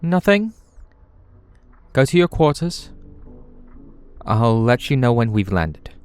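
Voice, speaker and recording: A man's voice: mid-range voice, very animated, takes it slowly, darkish tone, soft background music, voice pushed up. A man playing an anxious, defensive time-travelling alien in an audio drama, speaking in an agitated, insistent way. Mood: urgent.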